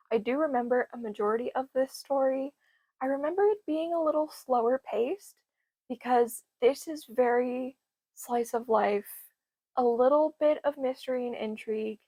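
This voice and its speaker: A young woman, a bit hoarse from losing her voice, chatting enthusiastically about library books. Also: a little high, a little mellow, no echo. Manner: enthusiastic, gushing. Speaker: book vlogger. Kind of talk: casual book haul chat.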